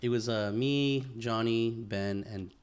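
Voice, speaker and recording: A man's voice: mid-range voice, slow, a little hiss or hum. Laid-back young man telling a story. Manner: storytelling, laughs often, filler-heavy. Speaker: podcast co-host. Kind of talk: casual chat.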